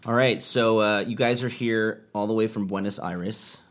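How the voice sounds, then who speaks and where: A man's voice: on the low side, fairly even intonation, normal speed. A man hosting a music show from a living room studio, introducing a band and chatting with them easily. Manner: easygoing, chatty, warm greetings and laughs. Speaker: radio show host. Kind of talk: show intro and casual interview.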